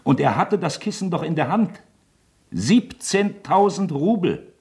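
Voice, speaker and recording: A man's voice: a little high, big pitch swings, a little slow, darkish tone. An actor in a German radio drama playing a wily, talkative, mock-genial male magistrate who toys with his suspect, insinuating and falsely chummy. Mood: insinuating, needling.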